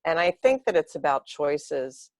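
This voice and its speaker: A woman's voice: sits low, big pitch swings, unhurried, dry recording. A woman guest speaking earnestly and reflectively, building long flowing thoughts in conversation. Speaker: interview guest.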